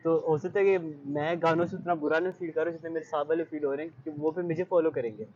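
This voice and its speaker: A man's voice: on the high side, fast, darkish tone, dull audio, slight background noise. A young man with a Pakistani accent answering interview questions in an earnest, reflective way, mixing in English phrases. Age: young adult. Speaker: interview guest, high-achieving student.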